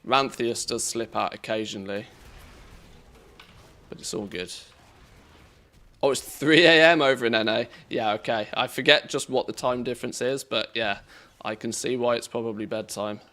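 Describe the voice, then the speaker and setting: A man with an English accent giving relaxed, chatty commentary on a live game stream, reacting to play and greeting viewers. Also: mid-range voice, moderate pace. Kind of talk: casual live-stream commentary while gaming.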